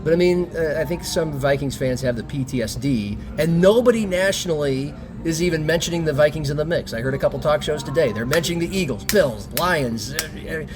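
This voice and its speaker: A man's voice: a little high, lively intonation, fairly quick, hardly any pauses, over music. A man hosting a sports talk podcast, chatting casually and putting questions to his guest.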